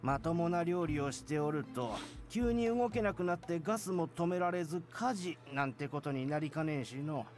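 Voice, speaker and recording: A man's voice: on the high side, fast, hardly any pauses, lightly acted. An actor playing a regretful, self-deprecating elderly man living alone, with old-fashioned speech, in a visual novel. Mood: grave, resigned. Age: older. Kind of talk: Japanese visual novel voice acting. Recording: game music behind the voice.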